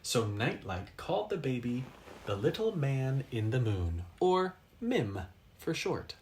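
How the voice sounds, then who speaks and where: A man reading a children's story aloud expressively, with dramatic pauses for effect. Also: mid-range voice, very animated, darkish tone, a little hiss or hum. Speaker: storybook reader.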